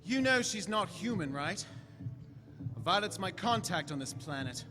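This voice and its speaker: In a reverberant hall, a man performs a clever alien adventurer in an audio drama. His voice is high and animated, and he explains with confident, wry eccentricity. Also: a little slow. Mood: urgent, probing. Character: eccentric, clever, quick-talking male alien time traveller. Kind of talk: live stage audio drama. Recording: echoey hall stage recording.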